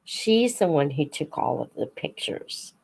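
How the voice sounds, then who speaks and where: A woman hosting a casual live chat, unhurried and thinking aloud as she talks to her viewers. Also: deep voice, big pitch swings, vocal fry, darkish tone, husky, rough recording. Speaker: host of a live book Q&A, teacher-like reader.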